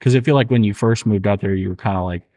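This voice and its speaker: A man's voice: fairly low pitch, rapid-fire, warm tone. A young man hosting a podcast, chatting casually with a friend, joking around and laughing often. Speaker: podcast host.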